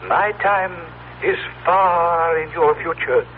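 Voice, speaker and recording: An actor playing a solemn, mouthless telepathic being from the far future in a 1970s radio drama, with formal, stilted, precise speech. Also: male voice, a little high, takes it slowly. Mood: patient, explaining. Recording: tinny, noisy 1970s radio broadcast.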